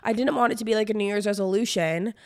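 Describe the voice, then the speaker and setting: A young woman hosting her own podcast in casual solo chat, her voice hoarse from a cold, talking with lots of "like" and "um". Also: medium pitch, fast, dry recording, studio quality. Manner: chatty, lots of "like" and "um". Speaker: podcast host.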